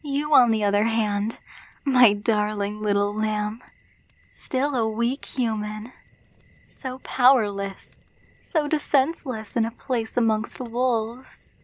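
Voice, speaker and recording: A woman's voice: fairly high pitch, slow, dry recording, rough recording. A woman playing a possessive alpha werewolf in an ASMR roleplay, taunting and condescending as she coos pet names. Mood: taunting, menacing. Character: dominant, possessive female alpha werewolf, teasing and menacing.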